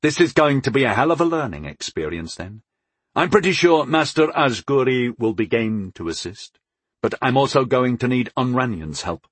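A man's voice: mid-range voice, expressive, moderate pace, slightly rough, dry recording. A man playing a sarcastic, daring wizard in a fantasy audiobook, wry and musing with eager recklessness.

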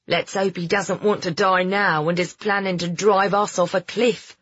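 A woman voicing a rough-spoken, cheeky boy, grumbling but game, with a blunt manner, in a storybook reading. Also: medium pitch, steady tone, normal speed, a bit bright, muffled recording. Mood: gloomy suspicion. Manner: blunt, muttering.

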